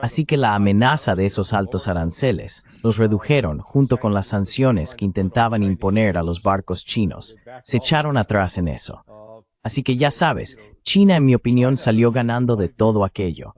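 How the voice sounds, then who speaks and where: A man's voice: lowish voice, expressive, normal speed, darkish tone. A man reading a translated voice-over of a political commentator in an interview.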